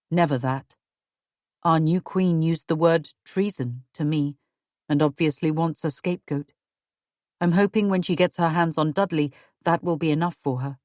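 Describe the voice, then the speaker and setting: Lady reading as a hushed tudor lord, deep and grave, worried husband. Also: no room sound, studio quality, close to an everyday voice. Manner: low, hushed and solemn.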